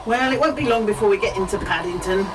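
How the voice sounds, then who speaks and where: A woman acting a sensible, practical lady traveller in an amateur radio play, with an emphatic, brisk manner. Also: mid-range voice, close to an everyday voice. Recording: distant, noisy community radio studio.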